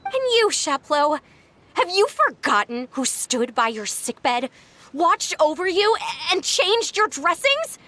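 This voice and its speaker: A woman's voice: extremely high pitch, big pitch swings, slow, pushed and bright, exaggerated voice. An actress playing a defensive young girl in a video game, protesting and throwing accusations back. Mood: bitter, accusing.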